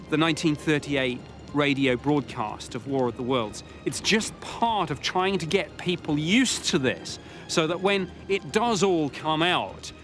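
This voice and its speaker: A man's voice: on the high side, a bit bright. A man giving unscripted interview commentary as a contributor to a documentary. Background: music.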